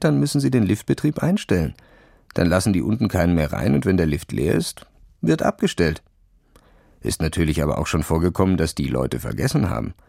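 Guy doing a cocky show-off kid for an audio story, condescending, slangy, bit of fry in the voice, dry sound. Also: very deep voice, darkish tone, dry recording, exaggerated voice. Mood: knowing. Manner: bragging, sneering, casual youth slang. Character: cocky, boastful boy, condescending and swaggering. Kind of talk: children's audio story reading.

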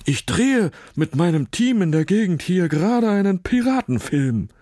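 A man voicing an excitable, friendly male dog who directs pirate films, with a panting, barking enthusiasm, in a children's audio story. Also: high voice, moderate pace, no echo, studio quality, voice pushed up. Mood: eager.

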